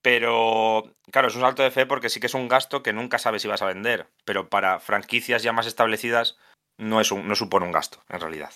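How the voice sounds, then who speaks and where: Podcast guest, man Asturias, low-ish pitch with a forceful edge, thoughtful and rambling. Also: fairly quick. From Asturias, Spain. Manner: thoughtful, many restarts and self-corrections. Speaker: video game translator, guest. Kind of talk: casual podcast conversation.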